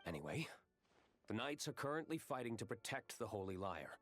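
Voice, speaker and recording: An actor playing a cool, serious young gentleman fighter in a video game, measured, reserved and dryly matter-of-fact. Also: mid-range voice, very animated, faint music behind. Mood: dry, explanatory.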